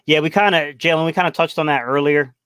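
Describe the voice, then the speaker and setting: A man on a postgame sports talk panel, measured and somewhat pessimistic. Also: a little high, steady tone, fairly bright tone, smooth, no echo, clean studio sound.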